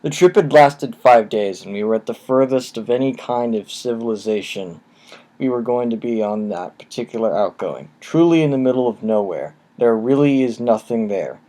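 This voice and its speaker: A man narrating creepy first-person tales at a mid-range pitch. He reads in a steady, low-key way, and a cheap microphone colours the sound. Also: normal speed. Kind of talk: reading horror stories aloud.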